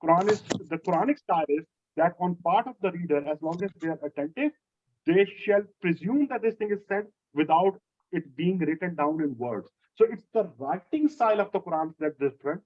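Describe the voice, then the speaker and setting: Man teaching, slightly high voice. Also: a little fast, muffled recording. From Lahore, Pakistan. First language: Urdu. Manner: explanatory, steady, repeats key phrases. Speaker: instructor. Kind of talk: answering a student's question in an online class.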